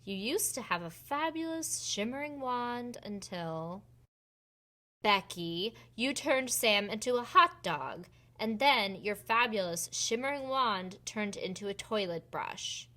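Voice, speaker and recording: Woman as a slow, chiding head fairy. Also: medium pitch, expressive, sharp tone. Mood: gently chiding. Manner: deliberate, repetitive, chiding. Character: kind, firm head fairy woman, gently scolding.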